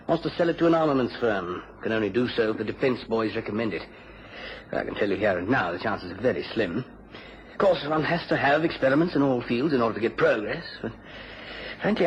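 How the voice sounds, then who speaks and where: A man's voice: mid-range voice, natural voice. Clipped, sceptical British official played by a man. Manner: clipped, dismissive. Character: dismissive, sceptical British government defence official. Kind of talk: radio drama acting. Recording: dull, noisy radio recording.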